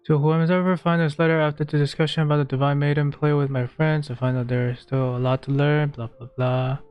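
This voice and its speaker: A man's voice: on the high side, flat delivery, fairly quick, clear, resonant tone, highs cut off. Male gamer, casual and offhand commentary, faint game music underneath. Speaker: gamer playing through a game's story quests. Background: video game music.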